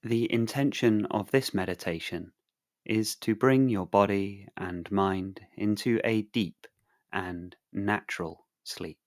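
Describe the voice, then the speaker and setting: A man leads a guided sleep meditation in a low voice. His delivery is very slow and measured, leaving long pauses between phrases. The manner is calm and soothing throughout.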